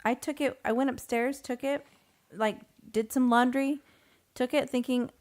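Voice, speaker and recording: A woman in her thirties co-hosts a home podcast while sleepy. She giggles often and answers in short bits. Her voice sits a little high for a woman. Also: fairly even intonation, moderate pace, darkish tone. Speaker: podcast co-host. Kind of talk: casual chat.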